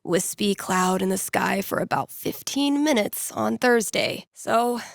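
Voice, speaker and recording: Playful young female radio DJ, video game character, wry, conversational, teasing, mid-pitched, close dry sound. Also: lively intonation, measured pace, creaky, dry recording. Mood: wry, upbeat. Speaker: radio DJ taking calls and telling fortunes. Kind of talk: playful radio host patter, storytelling to callers.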